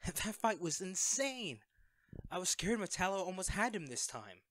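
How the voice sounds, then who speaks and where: A man's voice: high-pitched, very animated, moderate pace, fairly bright tone. A man acting in an audio roleplay as an awkward, self-effacing secret superhero, talking hesitantly and evasively to a best friend. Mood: casual, excited. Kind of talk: audio roleplay drama, one-sided conversation.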